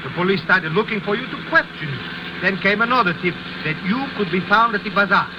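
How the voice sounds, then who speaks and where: An actor playing a fussy, plaintive foreign reporter in a 1950s radio drama, with formal phrasing. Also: male voice, sits high, animated, brisk. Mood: earnest. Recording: noisy vintage radio recording.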